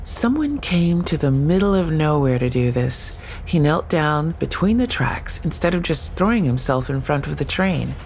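An actress playing a plain-spoken, persistent police investigator in an audio drama, matter-of-fact and inquisitive. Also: female voice, sits low, sing-song, moderate pace, hardly any pauses, darkish tone, a little hiss or hum, poor audio. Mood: insistent.

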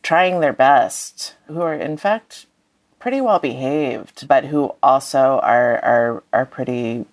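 A woman's voice: a bass voice, slow. A woman speaking as a guest author in a thoughtful interview, hesitantly searching for her words.

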